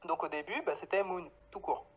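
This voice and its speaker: A woman's voice: on the low side, brisk, a crowd in the background. A woman from Paris, answering casually in an interview, feeling her way through sentences with frequent self-corrections. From Paris, France, of Guadeloupean family. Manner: hesitant, self-correcting, informal, trailing phrases. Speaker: interview guest, founder of a clothing brand.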